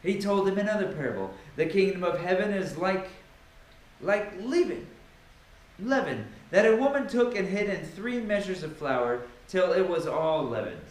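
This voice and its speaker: A man giving a dramatic, emphatic reading of scripture with theatrical pauses. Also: high voice, animated. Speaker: Bible reader. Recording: very echoey room with faint noise.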